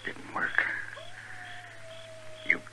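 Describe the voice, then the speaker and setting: An American actor playing a dying, defiant Old West gunman with a rural accent, weak and labored, in a 1950s radio western. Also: drawn-out words. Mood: weak defiance. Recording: noisy vintage 1950s radio recording.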